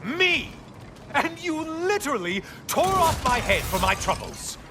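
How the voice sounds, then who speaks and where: An actor playing a bitter, mocking sun god who taunts with sarcastic remarks in video game dialogue. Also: male voice, very high voice, big pitch swings, unhurried, noisy background, exaggerated voice. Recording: game audio with loud music.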